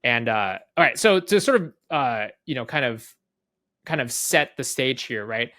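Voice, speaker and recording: A man casually walking through a software demo off the cuff, conversational, often checking in with tag questions and voicing little exchanges. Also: mid-range voice, lively intonation, normal speed, no echo, studio quality. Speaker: guest product leader giving a software demo. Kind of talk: casual off-the-cuff product walkthrough.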